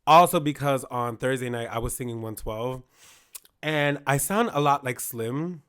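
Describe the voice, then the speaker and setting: A hoarse man co-hosting a podcast, chatting candidly about his week and quoting himself in asides. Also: mid-range voice, moderate pace, a bit bright. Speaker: podcast co-host. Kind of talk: casual chat.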